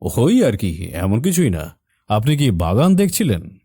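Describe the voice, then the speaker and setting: A man's voice: on the low side, big pitch swings, unhurried, warm tone, breathy edge, no room sound, studio quality, full character voice. A man playing an irritated, suspicious middle-aged father in an audio detective drama, with an accusing manner. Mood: guarded. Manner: accusing, interrogating. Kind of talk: audio detective story acting.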